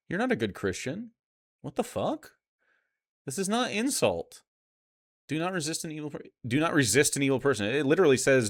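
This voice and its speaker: A man streaming off-the-cuff reaction commentary. He argues bluntly and sarcastically, swears freely and talks in a casual way, at a middling pitch. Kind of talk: casual, argumentative live commentary.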